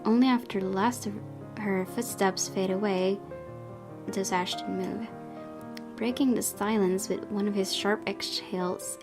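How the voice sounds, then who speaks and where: A young woman hosts a playthrough, reading visual novel prose aloud in a medium-pitched voice. She slips between narration and casual commentary over faint music. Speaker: gaming video host.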